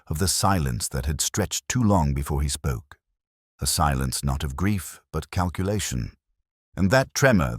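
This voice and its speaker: Man acting as weary older police inspector, extremely low voice, solemn mystery narration, expressive swings in pitch, brooding and deliberate. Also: dry recording, studio quality, full character voice. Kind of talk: scripted first-person mystery narration.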